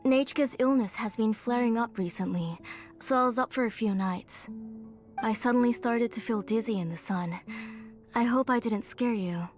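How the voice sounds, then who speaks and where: An actress playing a soft-spoken, motherly middle-aged woman in a game, with faint music behind. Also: on the high side, natural voice. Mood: weary. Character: gentle tired middle-aged mother, caring aunt.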